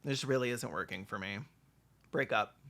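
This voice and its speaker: Super bright voiced reaction host, sarcastic and dramatic, cracking jokes over clips. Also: medium pitch, moderate pace, a little hiss or hum. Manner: theatrical, exclamatory, sarcastic. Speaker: video host reacting to a short film. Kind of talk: comedic reaction commentary.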